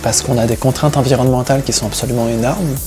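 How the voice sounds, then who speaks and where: A man's voice: mid-range voice, steady tone, fast talker. Man talking over music. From Paris region, France, with Breton roots. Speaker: seaweed harvesting diver and business owner, interviewee. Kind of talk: relaxed first-person interview account.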